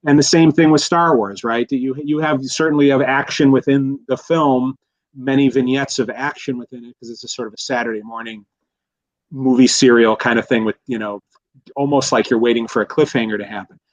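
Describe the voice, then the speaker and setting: A man in relaxed podcast talk, looping through restarts and fillers as he works toward his point. His voice sits in a middle range. The audio is a little dull and lo-fi. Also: medium pitch, brisk. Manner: rambling, many restarts and fillers. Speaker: guest commentator. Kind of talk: casual podcast discussion.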